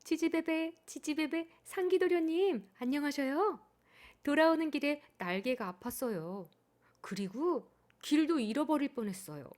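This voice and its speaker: A woman voicing a polite young swallow in a storybook, high and twittering. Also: moderate pace, darkish tone, lightly acted. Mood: cheerful.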